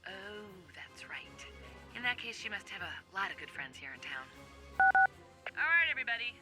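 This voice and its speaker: Casting: a chiding, brisk director for a comedic game cutscene, mid-pitched, with music behind. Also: female voice, very animated, pushed and bright. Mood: exasperated.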